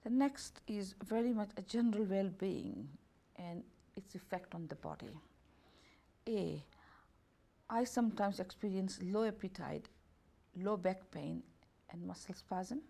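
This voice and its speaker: A woman therapist reading out a self-assessment questionnaire, going through each option as A, B and C at an unhurried pace, with slightly non-native grammar. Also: mid-range voice, creaky, warm tone. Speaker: holistic health and beauty therapist.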